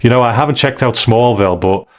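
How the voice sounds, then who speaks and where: A man hosting a podcast, giving his views conversationally in a measured, polite way. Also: mid-range voice. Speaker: podcast host.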